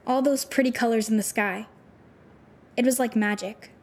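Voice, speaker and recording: High-voiced troubled teenage girl. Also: fairly even intonation, darkish tone. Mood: wistful, sad. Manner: halting, informal youthful phrasing. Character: troubled, disillusioned teenage girl runaway with superpowers. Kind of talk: audio drama acting.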